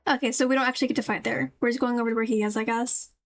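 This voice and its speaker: A woman's voice: fairly high pitch, fairly even intonation, very fast. A woman streamer giving casual, chatty, musing commentary on a game with its music behind her.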